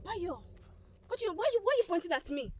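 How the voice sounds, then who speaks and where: A woman's voice: extremely high pitch, big pitch swings, a little fast, a little mellow, slight background noise. A woman with a Nigerian accent playing a defensive, indignant girl, protesting in agitation in a screen drama. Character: indignant, defensive girl claiming humble village origins. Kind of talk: dramatic film acting.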